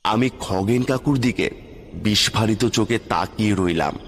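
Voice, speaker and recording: A man's voice: lowish voice, measured pace, slight background noise. A man narrating a scripted ghost story in the first person, in a dramatic style, with reverb on the recording. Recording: long hall-like reverb.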